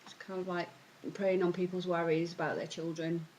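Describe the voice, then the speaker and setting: Woman with a lowish voice. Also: flat delivery, moderate pace, darkish tone, lo-fi sound, a little hiss or hum. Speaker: interviewee. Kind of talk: casual opinion interview.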